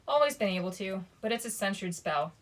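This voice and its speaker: A woman's voice: mid-range voice, lively intonation, brisk, natural voice, rough recording. A woman voicing a weary, guarded teenage boy in a storybook, wry and resigned.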